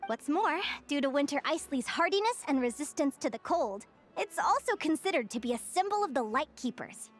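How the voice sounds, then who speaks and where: A woman's voice: sits high, lively intonation, fairly quick, soft background music. A woman voicing a cheerful young naturalist in a video game, lecturing to children in an encouraging way. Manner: bright, encouraging, teacherly.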